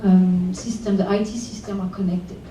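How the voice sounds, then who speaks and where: A woman from France answers on a conference panel, pausing often with fillers. Her mellow voice comes from a distance in a reverberant room. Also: medium pitch, fairly even intonation, slight background noise. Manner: hesitant, many fillers. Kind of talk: panel discussion. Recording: echoey room, voice from far off.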